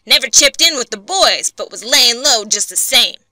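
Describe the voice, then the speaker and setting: A woman voicing Skid, a loud, hasty male logger in a frontier story. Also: a little high, expressive, moderate pace, no room sound, clean studio sound, pitched above the performer's own voice, full character voice. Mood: gruff.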